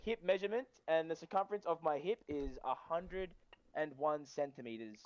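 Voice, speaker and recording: A man's voice: fairly high pitch, a little fast, muffled recording, crowd noise. A young man with an Australian accent, chatting casually and jokingly on a podcast. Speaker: podcast participant. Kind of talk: casual chat.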